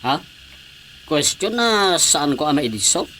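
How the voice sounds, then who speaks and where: Overeager councilman, boastful and giddy, very bright voice, slow swooping delivery, radio comedy. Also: fairly high pitch, a little hiss or hum, heavily acted. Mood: eager, baffled. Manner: excitable, self-satisfied. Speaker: radio drama actor. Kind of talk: Filipino radio comedy drama acting.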